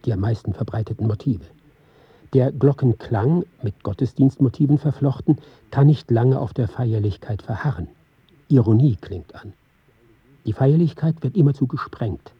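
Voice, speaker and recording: Husky man precise score reader. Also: medium pitch, unhurried, lo-fi sound. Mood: intent, precise. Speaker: reader of a sound score in a radio play. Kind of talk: dramatic scripted reading.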